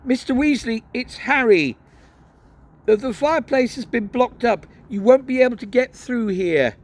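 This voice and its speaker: A man's voice: very high-pitched, lively intonation, moderate pace, voice pushed up. A man doing a nervous, stammering teenage boy. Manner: stammering, hesitant.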